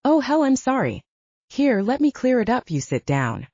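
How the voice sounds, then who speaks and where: A woman voicing a gruff but caring male soldier in an audiobook, speaking tersely and bluntly. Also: a little high, big pitch swings, normal speed, a little mellow, no room sound, muffled recording, voice pushed up. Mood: gentle concern.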